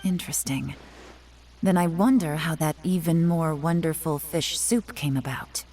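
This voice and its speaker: 침착하고 노련한 정보 요원, 낮은 목소리, 잔잔한 게임 음악. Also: female voice, low-pitched, measured pace, darkish tone. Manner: composed, knowing. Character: cool, cunning female intelligence agent. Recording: game audio with faint music.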